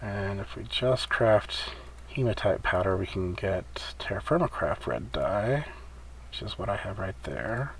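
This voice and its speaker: A man's voice: lowish voice, lively intonation, measured pace, creaky. A man giving casual gameplay commentary, muttering his way step by step as he thinks aloud on a noisy home recording. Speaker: gamer hosting a server event.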